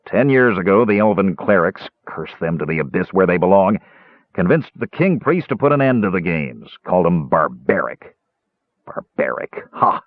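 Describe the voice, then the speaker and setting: Man doing a gruff, rough-voiced cantankerous old dwarf. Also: lowish voice, big pitch swings, normal speed, dry recording, narrow, tinny audio. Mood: wistful grumbling. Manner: scornful, snappish, folksy grammar.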